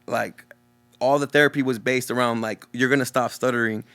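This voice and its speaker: A man's voice: medium pitch, steady tone, fairly quick, a bit bright, clean tone, faint music behind. A young man from Texas with a Texan accent who stutters openly, talking casually and earnestly as a guest DJ in an interview. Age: young adult.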